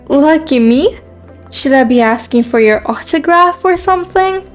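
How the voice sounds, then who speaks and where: A woman's voice: high-pitched, lively intonation, unhurried, faint music behind. A woman playing a shy but sarcastic teenage new girl, narrating a scripted romance story in the first person.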